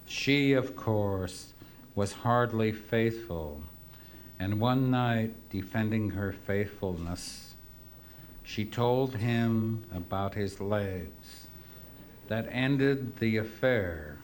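Drunk male poet at a live reading: low, unhurried, gruff and profane, faint hiss underneath. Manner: slow, gruff and profane, drifting into rambling banter between poems. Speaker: poet reading his work to an audience. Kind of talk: live poetry reading with rambling asides.

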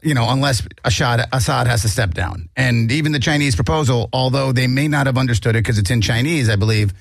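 A man's voice: medium pitch, a little fast, vocal fry, no echo, clean studio sound. A man hosting a casual comedic news chat, wry and sarcastic, laughing often. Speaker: podcast host.

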